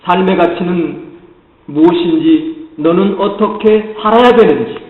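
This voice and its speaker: A man's voice: sits high, measured pace. A male pastor from the Jeolla region of Korea with a Jeolla accent, preaching earnestly and often speaking straight to his congregation. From Jeolla, South Korea. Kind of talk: sermon. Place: church sanctuary. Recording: echoey church hall, muffled lo-fi sound.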